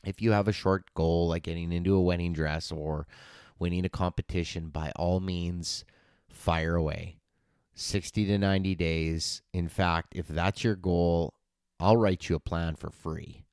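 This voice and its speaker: A man in his forties with a very low, smooth, resonant voice, talking as a fitness coach on his own podcast. He is earnest and encouraging, with plenty of fillers and restarts. The recording is dry and close. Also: fairly even intonation, unhurried, darkish tone, no echo. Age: 40s. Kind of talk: casual solo podcast talk.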